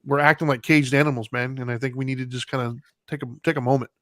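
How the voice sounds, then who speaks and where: A man's voice: medium pitch, steady tone, fast, a bit bright, no room sound, studio quality. A man with an American accent hosting a podcast in casual, opinionated chat, informal and plain-spoken. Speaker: podcast host.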